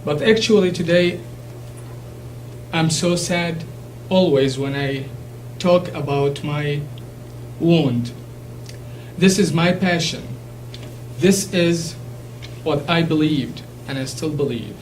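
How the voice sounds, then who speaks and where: A man with a thick Iraqi Arabic accent, a native Arabic speaker, giving an earnest, emphatic speech to a college class and halting now and then to restart. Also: fairly high pitch. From Iraq. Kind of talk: impassioned public speech. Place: classroom or lecture hall. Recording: distant mic with faint hum.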